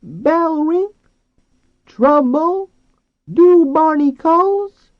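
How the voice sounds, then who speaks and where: A man voicing an eager, childlike female dolphin in an audiobook, with a squeaky falsetto and short bursts of broken, clipped speech. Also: drawn-out words, dry recording, highs cut off, heavily acted. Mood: eager, questioning. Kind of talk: audiobook character voice.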